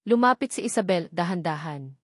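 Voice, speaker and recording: A woman's voice: on the low side, animated, brisk, dry recording, studio quality. A woman reading a scripted dramatic story as its narrator.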